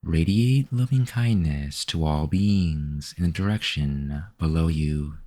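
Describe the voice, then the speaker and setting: A man narrating a guided meditation as the guide, in a measured, instructional manner. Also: a bass voice, mellow.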